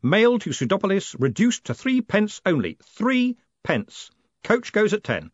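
A man voicing a quick-witted, persuasive and reassuring male postmaster in an audiobook. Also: mid-range voice, huge pitch swings, no room sound, dull audio, voice pushed up. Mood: rousing.

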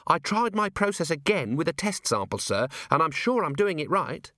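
A man's voice: on the high side, big pitch swings, normal speed, pushed and bright, no room sound, studio quality, pitched above the performer's own voice. A man reading an audiobook, voicing a young earnest female dwarf recruit who speaks eagerly and nervously.

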